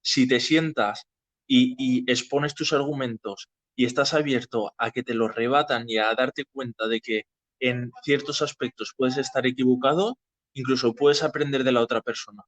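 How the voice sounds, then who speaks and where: A man from hosting a casual discussion, explaining in a halting way as he searches for phrasing. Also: mid-range voice, steady tone, fairly bright tone, dry recording. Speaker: host of a political audio discussion. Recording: dry, slightly dull lo-fi audio.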